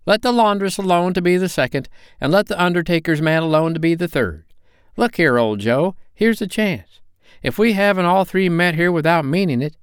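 A man's voice: sits high, animated, moderate pace, clear, resonant tone, no room sound, clean studio sound, voice pushed up. Brash defiant charwoman, put on by a man. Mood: mocking. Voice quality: brash, scornful. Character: bold, defiant Victorian charwoman.

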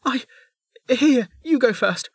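A woman's voice: high voice, animated, slow, slightly rough, dry recording, studio quality, voice pushed up, heavily acted. A narrator voicing a cheerful, chatty young woman who is new and eager to make friends. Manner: bubbly, apologetic, eager.

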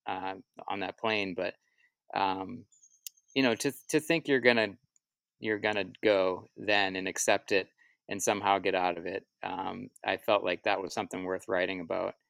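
A man with a low voice and a gravelly fry at the edges talks through his stories as an interview guest. He is reflective and halting, circling back over his words. The sound is very dry and close. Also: fairly even intonation, a bit bright, no room sound. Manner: halting, reflective, self-repeating. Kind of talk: casual interview answers, storytelling.

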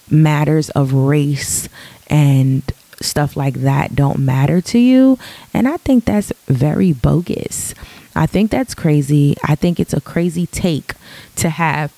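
A woman with a deep, raspy morning voice, speaking in an earnest, reflective way in a casual podcast monologue, full of ums and restarts. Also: warm tone, no room sound, low-quality recording.

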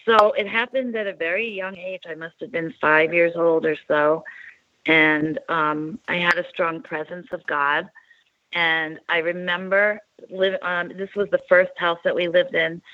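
A woman's voice: on the low side, dry recording. A woman from Long Island, New York, shares her faith story as a radio guest, rambling and hesitating over her words. Her voice comes through muffled and lo-fi, with a dry, close sound. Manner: rambling, hesitant, many fillers. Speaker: interview guest. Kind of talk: telling a personal faith story.

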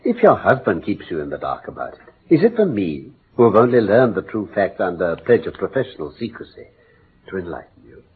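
A brilliant English consulting detective in an old radio drama. His delivery is precise and emphatic, swinging widely in pitch, with a very husky voice. The sound is narrow and muffled, like a vintage broadcast. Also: big pitch swings, a little fast, full character voice. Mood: courteous, guarded. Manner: precise, emphatic, theatrical, formal diction. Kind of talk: classic British radio drama acting.

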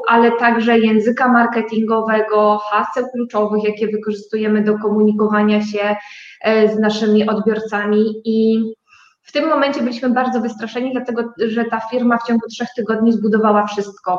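A woman guest telling her company's story in a conversational interview, speaking haltingly with drawn-out hesitations. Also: on the high side. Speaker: guest, start-up co-owner. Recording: dull, roomy sound.

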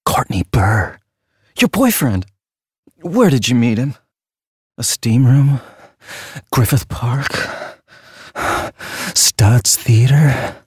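A man's voice: medium pitch, expressive, very slow, pauses often, darkish tone, husky, dry recording, clean studio sound, full character voice. A man playing an obsessive, crude true-crime fan in an audio drama, questioning insistently. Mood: prying, insistent. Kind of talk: dramatic audio play dialogue.

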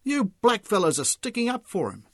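A man putting on a shrill, indignant drunk bloke. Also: expressive, takes it slowly, darkish tone, slightly rough, no echo, clean studio sound, full character voice. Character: short drunk man, strident and complaining.

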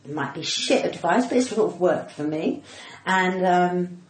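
A woman's voice: on the low side, lively intonation, unhurried. Older cut-glass Englishwoman in an echoey room. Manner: chatty, self-mocking, emphatic repetition. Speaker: guest, stand-up comedian. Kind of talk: casual interview chat. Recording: roomy echo, clear background noise.

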